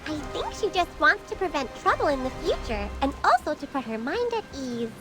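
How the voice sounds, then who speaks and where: Very high, lively young female apothecary. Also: normal speed, music in the background. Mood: thoughtful, helpful. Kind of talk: voiced dialogue in a fantasy game.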